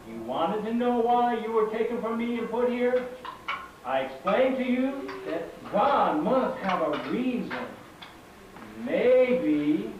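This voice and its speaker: A man's voice: high voice, dull audio. A man playing an anxious, earnest uncle in a puppet show, pleading in a dramatic, repetitive way. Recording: echoey, distant, noisy live stage recording.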